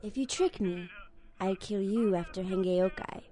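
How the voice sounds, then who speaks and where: An actress voicing a stern, wary young demon hunter in a video game, in terse English with a Japanese accent. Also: female voice, mid-range voice, normal speed, dark tone, lightly acted. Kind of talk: video game character acting.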